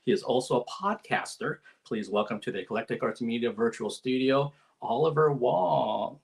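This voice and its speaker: A man's voice: medium pitch, moderate pace, vocal fry. Chatty male interview host. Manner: friendly, chatty, many fillers. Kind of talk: live-stream interview.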